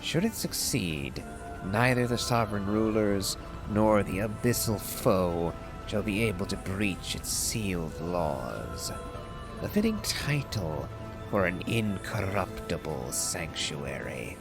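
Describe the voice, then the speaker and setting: A man's voice: deep voice, very animated, slow, over music, exaggerated voice, low-quality recording. A man voicing a proud, stern ancient dragon from a game, in formal, declamatory phrases. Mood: solemn, resolute. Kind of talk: dramatic reading of game lore.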